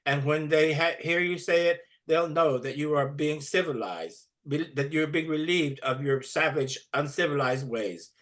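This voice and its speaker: A man's voice: a little high, fairly bright tone, voice pushed up. A man voicing a pompous, condescending woman schoolteacher in an exaggerated, over-the-top sing-song manner.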